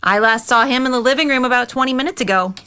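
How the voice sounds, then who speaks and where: An exacting, anxious mother in a naturalistic audio drama. Her middle-aged voice is high and bright, and she speaks with earnest insistence. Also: fast, clean tone. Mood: earnest, worried. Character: anxious, exacting, well-meaning mother and aunt.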